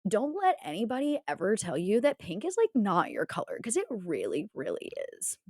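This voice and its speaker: A woman's voice: medium pitch, wildly swooping pitch, brisk, no echo, clean studio sound. A woman voicing a ditzy, bossy female crime boss in a tabletop game, with casually haughty valley-girl talk. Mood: cutesy, reassuring.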